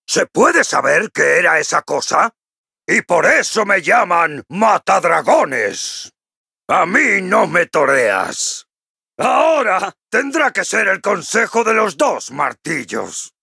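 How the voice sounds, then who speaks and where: A man with a Castilian accent from Spain voicing a boisterous, cocky, jovial dwarf warrior for a video game, gruff and raspy, hearty and full of exclamations. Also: fairly high pitch, bright, forceful tone, dry recording, studio quality, full character voice. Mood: taunting. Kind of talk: video game character voice lines.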